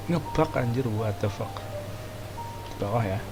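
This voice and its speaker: A man's voice: medium pitch, measured pace, music in the background, poor audio. A man with an Indonesian accent giving casual, slangy gameplay reactions in short muttered remarks with swearing. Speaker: game streamer.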